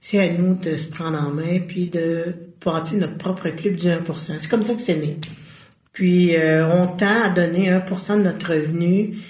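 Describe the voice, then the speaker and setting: A woman's voice: fairly low pitch, steady tone, measured pace, a little mellow. A woman with a Québécois French accent, a guest in an interview, talking earnestly and searching for her words as she goes. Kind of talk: casual conversational interview. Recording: roomy echo.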